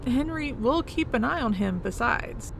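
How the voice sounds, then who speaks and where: An actress playing a composed, knowledgeable professor in an audio drama, with a creaky voice and precise, formal delivery. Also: female voice, a little high, expressive, measured pace, noisy background. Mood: matter-of-fact. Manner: precise, formal, self-possessed. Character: composed, knowledgeable female professor, older than her companion.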